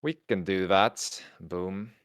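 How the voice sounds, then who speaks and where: A man's voice: low-pitched, takes it slowly, a bit bright, clear, resonant tone. A man with a German accent doing casual livestream commentary, chatting with his viewers, reading their messages aloud and laughing often. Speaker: tournament co-commentator.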